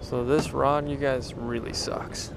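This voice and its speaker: A man's voice: medium pitch, steady tone, takes it slowly, vocal fry. A man in his twenties chatting casually and in slang as he films a fishing vlog outdoors. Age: 20s. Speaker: fishing vlogger. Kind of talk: casual vlog chat and commentary. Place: outdoors by the water at a pier and shore. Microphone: action camera or handheld camera. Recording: heavy wind and outdoor noise. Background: loud wind, outdoor ambience.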